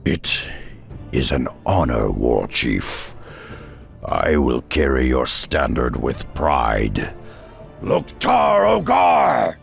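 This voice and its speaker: A male actor voicing a hulking, proud and loyal half-orc champion in a fantasy video game, with a gruff growl and solemn delivery. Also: huge pitch swings, faint music behind, exaggerated voice. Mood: rousing battle cry. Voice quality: deep, gravelly growl. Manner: slow, solemn, formal declarations.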